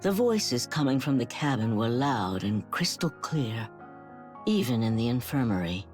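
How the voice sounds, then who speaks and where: Nora, a slow-spoken frail young woman. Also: rumbling low, sing-song, a little mellow, soft background music, heavily acted. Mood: resigned. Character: Nora, frail dying young woman passenger, stubborn and resigned. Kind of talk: dramatic video game cutscene acting.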